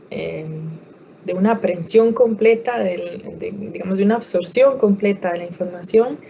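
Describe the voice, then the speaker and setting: A woman answers audience questions in an online talk, stopping often to restart a phrase or fill a pause. Her voice is mellow and dark. The sound comes through a dull, lo-fi video call. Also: mid-range voice, creaky, slight background noise. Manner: hesitant, many fillers and restarts. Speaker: guest expert speaker.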